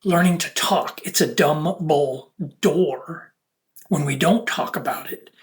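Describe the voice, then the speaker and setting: Emphatic, preachy speaker with a mid-pitched voice. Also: takes it slowly. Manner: preachy, emphatic, fragmented phrasing with wordplay. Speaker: content creator. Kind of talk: unscripted monologue to viewers.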